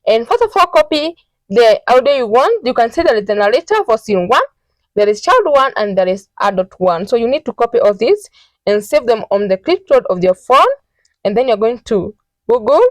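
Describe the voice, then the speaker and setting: A woman hosting a step-by-step software tutorial, talking instructionally and often checking in with a quick "okay". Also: on the high side, very animated, no room sound, low-quality recording. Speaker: tutorial host.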